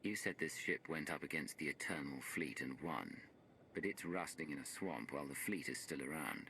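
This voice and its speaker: A man's voice: extremely low pitch, moderate pace, sharp tone, a little hiss or hum, full character voice. An actor playing a terse, wary, hardened male hero in a sci-fi game. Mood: wary, skeptical. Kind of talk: cinematic game dialogue.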